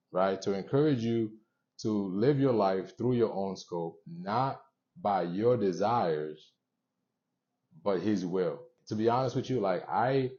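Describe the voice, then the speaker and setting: A young man hosting a motivational show, speaking to viewers in an earnest, conversational way with pauses between short phrases, on a dull recording. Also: on the low side, dark tone. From born in Haiti, moved around the US. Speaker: show host.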